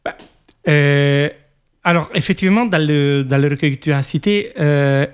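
A man from Italy, whose first language is Italian, speaks in a voice a little higher than most men. He answers slowly and thoughtfully, pausing, repeating words and restarting his sentences. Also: smooth. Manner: thoughtful, many hesitations and restarts. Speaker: author. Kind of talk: reflective interview answers.

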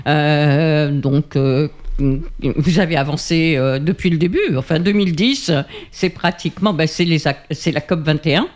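A man's voice: on the high side, unhurried. A man hosting an interview, asking conversational questions about climate efforts.

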